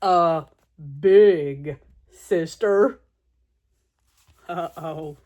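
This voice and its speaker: A woman voicing an earnest male elephant in a storybook, telling his woes in a deliberate, drawn-out, dramatic way. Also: lowish voice, mellow, muffled recording, voice pushed down. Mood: grave, dramatic.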